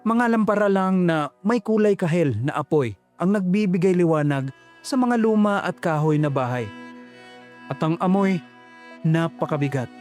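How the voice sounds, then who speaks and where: A man narrating a first-person horror story in a slow, ominous, reflective way. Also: high voice, expressive, darkish tone, faint music behind.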